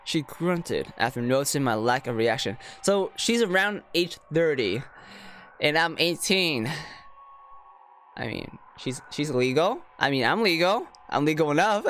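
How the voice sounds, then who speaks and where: A man's voice: a little high, fairly bright tone, slight background noise. A man reading a visual novel aloud as a streamer, breaking off for slangy, jokey reactions. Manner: slangy, jokey asides between read lines. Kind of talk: reading game text aloud with casual reaction commentary. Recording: music behind the voice. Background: music.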